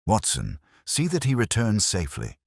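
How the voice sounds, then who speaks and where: A man playing a grave, calm, commanding Victorian detective, speaking in a measured, quietly incisive way in an audio mystery. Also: on the low side, gravelly, no room sound, studio quality, voice pushed up, exaggerated voice. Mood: calm authority.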